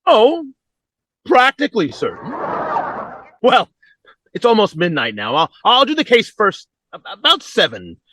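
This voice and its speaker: A man's voice: high voice, wildly swooping pitch, measured pace, long pauses, background noise, exaggerated voice. A man playing a bumbling, cheerfully callous doctor with a hesitant stammer in an old-time radio comedy. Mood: breezy, reassuring.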